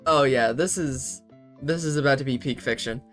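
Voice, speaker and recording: A man's voice: mid-range voice, moderate pace, fairly bright tone, faint music behind. A man giving comedic commentary as he reads fanfiction aloud, full of sarcastic, self-interrupting asides and laughs. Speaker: YouTuber reading fanfiction aloud.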